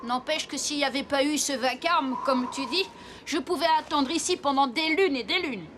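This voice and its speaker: A woman's voice: high-pitched, normal speed, background noise, natural voice. A woman voicing an impulsive, proud, hot-headed young girl in a cartoon dub. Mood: defensive, sulky. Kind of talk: animated series dubbing. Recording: loud music behind the voice.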